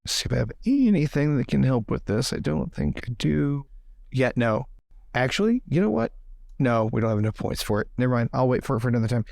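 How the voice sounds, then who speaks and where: A man's voice: medium pitch, brisk, gravelly, no echo. Guy playing a tabletop rpg, voice bounces around a lot, jokey casual chatter, dry mic. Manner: animated, thinking aloud, joking. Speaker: tabletop game player.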